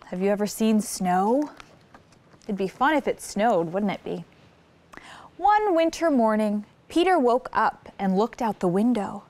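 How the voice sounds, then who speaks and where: A woman's voice: medium pitch, animated, measured pace, darkish tone. A woman hosting story time, reading a picture book aloud expressively and putting simple questions to young listeners. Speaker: children's story time host.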